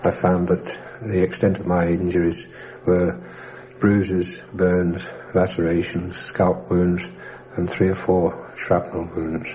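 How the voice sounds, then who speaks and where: Male documentary interviewee, very deep and mellow, measured and factual recollection, hissy 1960s radio sound. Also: level tone, low-quality recording. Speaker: air crash survivor recounting his wartime experience.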